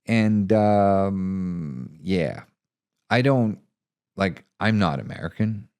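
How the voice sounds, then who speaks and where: Deep, slow-paced man. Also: lively intonation, darkish tone, clean tone. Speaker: author reading own short story. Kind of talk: scripted narration and casual reflective talk.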